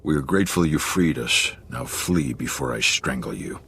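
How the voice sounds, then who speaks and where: An actor playing a violent, blunt alien convict in a video game, speaking formally and menacingly in a guttural voice. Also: male voice, extremely low pitch, normal speed, sharp tone, slight background noise, exaggerated voice. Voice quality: guttural, gravelly. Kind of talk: video game character acting.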